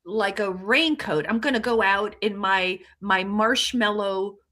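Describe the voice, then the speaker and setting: A woman's voice: medium pitch, unhurried, pushed and bright. A woman in her sixties co-hosting a casual online chat, upbeat and motivational. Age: 60s. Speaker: co-host.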